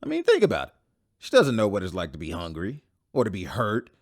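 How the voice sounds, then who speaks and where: A man's voice: lowish voice, wildly swooping pitch, fairly quick, dry recording, clean studio sound, full character voice. An actor playing a bitter man who still grieves a lost sister, talking heatedly in an audio drama. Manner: heated, emphatic.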